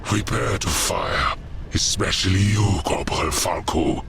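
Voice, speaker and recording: Villainous older general played by a man: gravelly, very slow, mocking and commanding, for a grim war story. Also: deep voice, a bit bright, slight background noise, exaggerated voice. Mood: commanding relish. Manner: scornful praise, slow relishing commands. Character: malicious, mocking older male army general.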